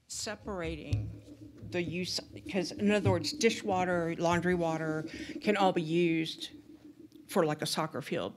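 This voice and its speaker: A woman's voice: fairly low pitch, a little hiss or hum. A woman resident asking hesitant, detailed questions about wastewater reuse at a public meeting. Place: large courtroom with audience.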